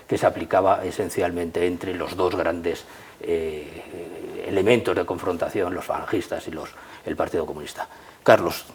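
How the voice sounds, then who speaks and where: A man with an accent from Spain leads a history discussion. His voice sits low and has a husky grain. He speaks without a script, in emphatic, academic phrases broken by hesitations and repeated words. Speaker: presenter and discussant.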